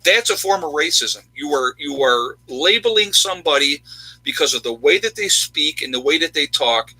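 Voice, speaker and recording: A man's voice: on the high side, lively intonation, normal speed, pushed and bright, breathy edge, dry recording, poor audio. A man in his fifties from upstate New York, talking bluntly and emphatically in a casual chat with viewers. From New York State, USA. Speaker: channel host, army veteran.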